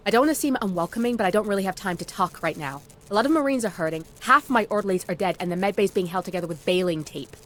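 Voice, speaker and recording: An actress playing an overworked woman medic in charge of a damaged med bay, speaking quickly and brusquely. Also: mid-range voice, a bit bright, natural voice. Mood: harried. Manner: rushed, brusque. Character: harried woman field medic, rushed, overworked.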